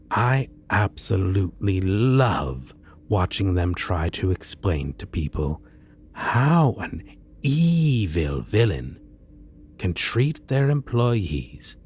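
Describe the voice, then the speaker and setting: A man playing a polite, self-assured supervillain in an ASMR roleplay, speaking in a pleasant, businesslike and theatrically measured way. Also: low voice, sing-song, darkish tone, a little hiss or hum, heavily acted. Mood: gleeful. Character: polite, self-assured male supervillain interviewing a minion recruit.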